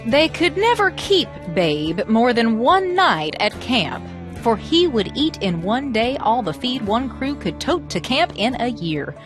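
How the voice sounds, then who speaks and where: A woman telling folk tales as a presenter, with expressive, theatrical, emphatic phrasing. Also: on the high side, a little slow, over music. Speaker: storyteller and presenter. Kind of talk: animated storytelling narration of American folklore.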